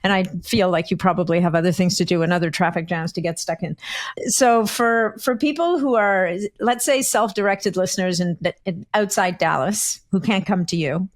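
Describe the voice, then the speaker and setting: Chatty female podcast host in her forties. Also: fairly low pitch. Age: 40s. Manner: chatty and animated, quick asides with laughs. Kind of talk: casual interview chat.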